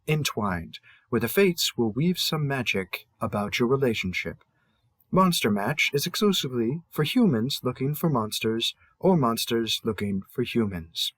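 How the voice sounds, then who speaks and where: Slow-paced, courteous man playing a cheerful app host. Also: mid-range voice, fairly bright tone, no echo, exaggerated voice. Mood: polite, brisk. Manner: courteous, measured, salesman-like welcome delivery. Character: cheerful, polite male host of monster dating app. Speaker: host presenting matches. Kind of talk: scripted audio roleplay narration.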